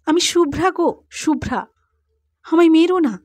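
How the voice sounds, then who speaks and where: A woman voicing the frightened ghost of a murdered young woman who begs in a shrill, high voice. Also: animated, a little slow, a little mellow, no echo. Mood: pleading. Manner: pleading, shrill.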